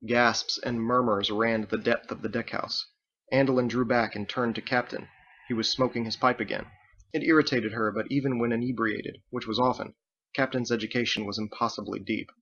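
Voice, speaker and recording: A man narrates a story from his own book. His voice has a gravelly creak at an ordinary pitch. The recording is slightly dull and muffled. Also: steady tone, brisk, a bit bright. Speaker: author reading own book. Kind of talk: scripted audiobook narration.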